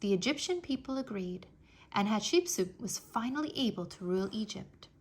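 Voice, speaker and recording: A woman reading a history book aloud for children in an expressive, deliberate storytelling manner. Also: medium pitch, slightly rough. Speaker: read-aloud narrator.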